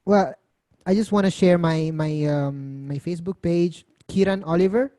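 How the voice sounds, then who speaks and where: Young male musician from Italy, soft and hesitant, mellow tone in a dry room. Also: a little high, moderate pace, dry recording. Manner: soft-spoken, hesitant, many fillers and restarts. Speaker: musician guest. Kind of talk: casual interview answers.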